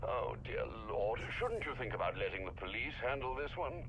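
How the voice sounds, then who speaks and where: A man's voice: mid-range voice, sing-song, moderate pace. An actor playing a worried older male butler in a video game, fretful and concerned. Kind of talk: video game cutscene acting. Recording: muffled radio line with heavy noise.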